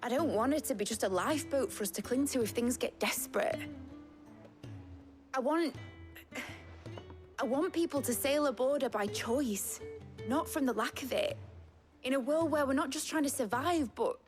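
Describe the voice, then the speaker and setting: A woman's voice: sits high, normal speed, long pauses, faint music behind, natural voice. An actress playing an earnest, hopeful young female engineer with a British accent, speaking informally and from the heart in a video game drama. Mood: wistful, earnest.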